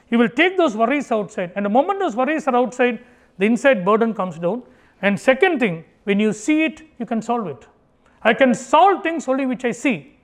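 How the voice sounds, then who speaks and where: Indian english guy from kerala, chatty talk, swings his voice up and down a lot. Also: very high voice. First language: Tamil. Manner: animated, acts out family dialogue in Tamil. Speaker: speaker giving a motivational talk. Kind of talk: conversational public talk. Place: auditorium.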